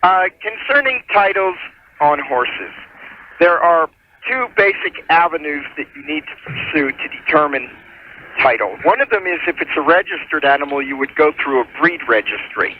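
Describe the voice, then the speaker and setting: Higher-pitched man explaining formally over muffled radio. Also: animated, normal speed, slight background noise. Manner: formal, methodical, with legal wording. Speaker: attorney calling in as legal adviser. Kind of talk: explanatory advice on a call-in show. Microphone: telephone. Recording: muffled telephone call on radio broadcast.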